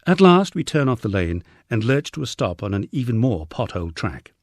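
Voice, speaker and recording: A man narrates a memoir in a lively, varied delivery with wry understatement. His voice sits fairly low and has a husky grain. The sound is dry and close, suited to an audiobook. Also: a little fast, no room sound. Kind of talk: scripted first-person memoir narration.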